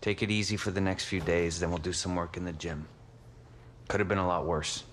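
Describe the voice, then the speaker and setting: Guy doing a calm sports physio in a game, really deep voice, reassuring. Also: fairly quick, slight background noise, natural voice. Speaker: team physiotherapist.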